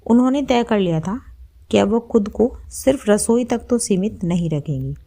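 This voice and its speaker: A woman's voice: mid-range voice, lively intonation, normal speed, vocal fry, mellow. A woman storyteller reading a scripted story as its narrator.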